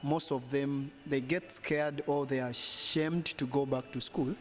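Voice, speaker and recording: Young male host from Uganda, quiet listener, mid voice, handheld mic indoors. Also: medium pitch, fairly even intonation, unhurried. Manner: quiet listener, frequent murmured agreement. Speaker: interviewer. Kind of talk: casual interview. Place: bright hotel lounge indoors. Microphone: handheld lapel mic.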